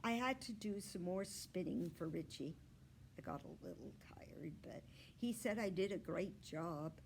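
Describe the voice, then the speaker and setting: A woman reading a children's storybook aloud in an animated, expressive way. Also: on the low side, unhurried, vocal fry, a little hiss or hum.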